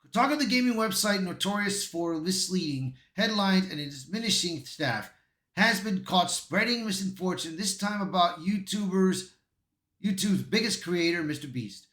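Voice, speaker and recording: Man, slightly high voice, roomy echo, casual commentary, stumbles while reading. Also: expressive, normal speed, a bit bright. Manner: stumbling over words when reading. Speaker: YouTube commentator. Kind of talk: casual commentary, reading an article aloud with asides. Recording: roomy, echoey voice.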